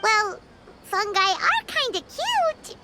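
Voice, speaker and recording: Super high squeaky little game fairy creature, excitable and swingy, lots of noise behind. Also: female voice, slow pace, exaggerated voice. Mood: curious. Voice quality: squeaky, childlike. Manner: speaks of herself in the third person, exclamatory. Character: tiny childlike floating companion creature, excitable, easily offended. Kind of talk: video game voice acting.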